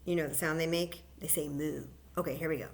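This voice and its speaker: A woman librarian leading a children's story time, chatting gently and encouraging the kids as she introduces songs. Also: deep voice, fairly even intonation, fast talker. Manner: gentle, encouraging, chatty, singing simple tunes.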